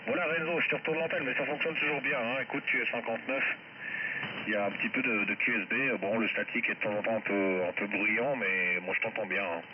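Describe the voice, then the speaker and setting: A man's voice: fairly low pitch, fairly even intonation, quick pace. A man chatting casually as an amateur radio operator, heard over a shortwave radio link. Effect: shortwave amateur radio transmission. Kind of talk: casual radio contact chat. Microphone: heard through a radio receiver. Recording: muffled, static-filled shortwave radio reception.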